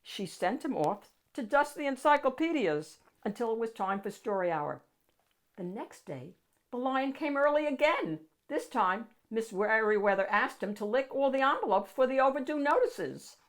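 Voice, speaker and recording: A woman reading a picture book aloud to children in an animated, expressive storytelling style with dramatic emphasis. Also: a little high, normal speed.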